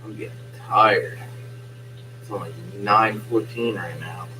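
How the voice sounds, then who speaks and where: A young man gives casual play-by-play with a hoarse, fading voice, keeping it low and hushed. His voice sits on the low side. A faint hum runs under the home recording. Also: slow pace, poor audio. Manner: quiet, subdued talk. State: losing his voice. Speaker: live streamer doing play-by-play. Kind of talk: casual live-stream sports commentary. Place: at home at night.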